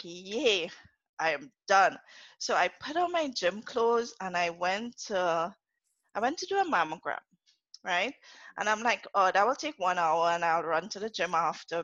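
A woman's voice: mid-range voice, no room sound. Middle-aged woman, Trinidadian accent, chatty storyteller, dry close sound, a bit muffled. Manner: chatty, laughing storyteller with lively asides. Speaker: guest. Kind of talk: casual podcast interview.

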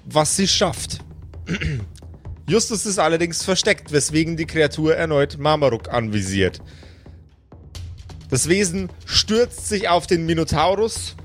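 A man working as game master, narrating an improvised tabletop adventure in deliberate, descriptive phrases. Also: on the high side, animated. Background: music under the voice.

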